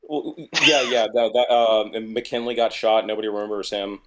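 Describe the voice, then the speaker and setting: A man's voice: on the low side, fairly even intonation, normal speed, faint music behind, poor audio. A man chatting casually and bluntly about his opinions as a guest on an online group call, sometimes swearing. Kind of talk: casual chat, political opinions.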